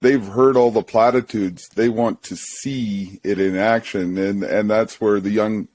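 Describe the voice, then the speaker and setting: A man's voice: lowish voice, steady tone, unhurried, darkish tone. A man hosting a casual interview, easygoing and encouraging. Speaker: podcast host.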